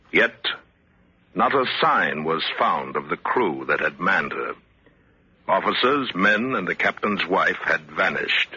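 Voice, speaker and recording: Deep, solemn mystery narrator. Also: male voice, pushed and bright, slightly rough, no room sound, exaggerated voice. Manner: measured, solemn, deliberate. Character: grave narrator recounting a sea mystery. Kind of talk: 1950s radio drama narration. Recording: muffled vintage radio recording.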